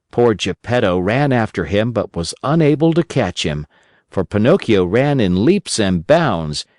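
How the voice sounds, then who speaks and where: A man reading a children's story as an audiobook narrator, in a gentle, expressive storytelling manner. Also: mid-range voice, no echo, studio quality.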